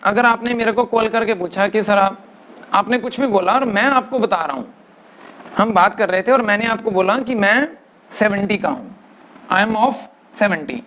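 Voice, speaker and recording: A male physics teacher giving a lecture, mixing English into Hindi, dictating definitions slowly word by word and often checking with "right na". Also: sits high, pushed and bright. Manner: mixes English into Hindi, keeps asking "right na". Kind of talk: classroom lecture.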